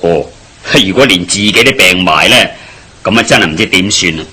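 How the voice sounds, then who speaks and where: Chatty, nosy village joker for Hong Kong Cantonese radio drama: lowish male voice, sharp and husky. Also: expressive, fairly quick, exaggerated voice. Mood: curious, easygoing. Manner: chatty, inquisitive, colloquial. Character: chatty, good-natured Cantonese village man, nosy and joking.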